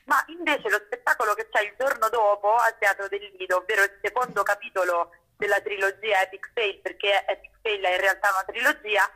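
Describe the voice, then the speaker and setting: A young woman speaks as an interview guest in a bright, crisp-edged voice at an average pitch. She is articulate and conversational, explaining at length and often adding little tag questions. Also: fairly even intonation, fairly quick, poor audio. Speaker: guest, actress and playwright.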